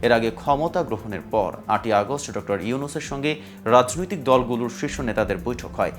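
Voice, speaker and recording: A man's voice: mid-range voice, animated, a little fast. Bangladeshi newsreader, man, music behind. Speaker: news presenter. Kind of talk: scripted news narration. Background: music bed.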